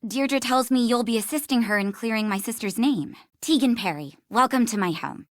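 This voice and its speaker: An American woman acting as a chatty, harried hostess in a video game, expressive, with brittle politeness. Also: fairly high pitch, moderate pace, no room sound, studio quality, close to an everyday voice. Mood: brittle, indignant.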